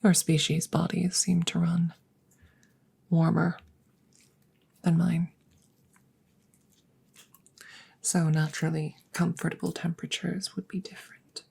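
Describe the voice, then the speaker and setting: Woman playing a low, husky, clinical alien scientist. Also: level tone, darkish tone, full character voice. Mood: calm, politely concerned. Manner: formal, measured, deliberate, with breathy whispered phrases. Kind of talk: ASMR audio roleplay.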